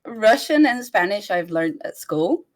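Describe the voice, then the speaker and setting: A young woman from Bulgaria with a Bulgarian accent, a player giving a relaxed post-game interview and laughing lightly between answers. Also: medium pitch, a little slow. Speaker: esports player guest.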